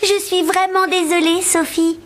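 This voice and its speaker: A woman voicing a sweet, childlike little girl in a French animated cartoon, with expressive delivery. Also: very high voice, unhurried. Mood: gentle, caring.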